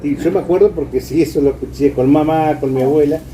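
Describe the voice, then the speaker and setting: A man's voice: a little high, quick pace. An older man with an Argentine accent, sharing memories in a casual, anecdotal chat. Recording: distant from mic, noisy room.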